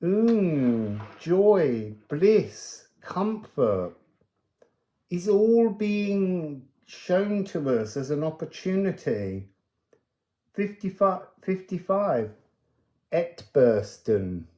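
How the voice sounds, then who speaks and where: Slow-talking man in an echoey room. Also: high-pitched, sing-song, warm tone, muffled recording. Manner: musing, meandering, drifting between thoughts. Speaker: oracle card reader and host. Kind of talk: unscripted spiritual card reading.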